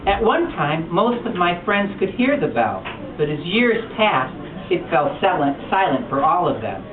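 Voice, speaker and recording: A man reading a picture book aloud at a bookstore storytime, telling it with expressive animation. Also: a little high, a little slow, crowd noise. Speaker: storytime reader. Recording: distant echoey lo-fi room recording with noise.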